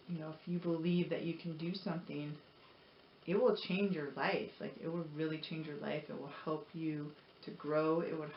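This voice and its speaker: A woman talking casually to viewers in an earnest, encouraging, rambling way, like a motivational vlogger. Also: low voice. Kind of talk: casual personal talk to viewers. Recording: roomy echo, dull lo-fi audio, noisy.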